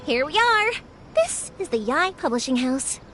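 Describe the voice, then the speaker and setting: A woman's voice: sits high, big pitch swings, measured pace, fairly bright tone, slight background noise, heavily acted. A woman voicing a bubbly, kind big-sisterly young woman in a video game, chirpy and encouraging. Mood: upbeat. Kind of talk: animated game voice acting.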